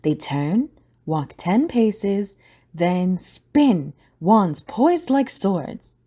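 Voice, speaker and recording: A woman's voice: medium pitch, sing-song, very slow, dark tone, husky. A woman reading scripted, dramatic story narration for an audio drama.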